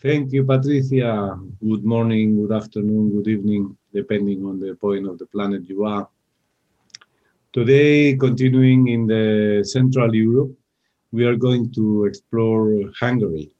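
A man's voice: fairly low pitch, fairly even intonation, unhurried, mellow, muffled recording. A man from Spain with a Spanish accent, hosting a wine radio interview in English with many filler uhs. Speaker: radio show host.

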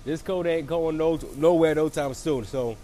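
A man's voice: fairly high pitch, normal speed, dark tone, slight background noise. A man with a cold and a froggy voice, rambling through a casual solo recap as a wrestling show reviewer. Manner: rambling, lots of fillers and restarts.

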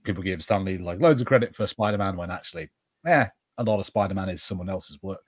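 A man with a slightly deep voice talks casually as a podcast co-host. He offers conversational film commentary, and the sound is dry with little room. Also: fast talker, slightly rough, no room sound.

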